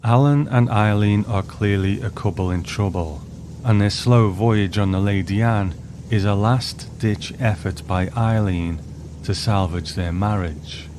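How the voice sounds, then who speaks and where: A man's voice: on the low side, measured pace, noisy background. A man hosting a show with scripted narration, introducing and discussing a story. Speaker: host.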